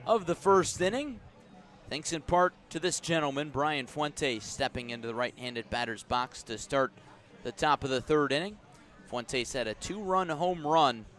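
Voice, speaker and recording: A man giving live radio baseball commentary as the play-by-play announcer, describing each moment in an unhurried way and lifting his voice on the action. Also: medium pitch, fairly bright tone.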